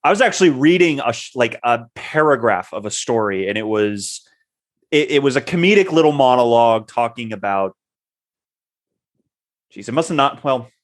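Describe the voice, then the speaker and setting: A man's voice: mid-range voice, lively intonation, normal speed. Rambling man in casual chat. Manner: rambling, hesitant, repeats words. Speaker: co-host. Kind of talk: casual chat about writing.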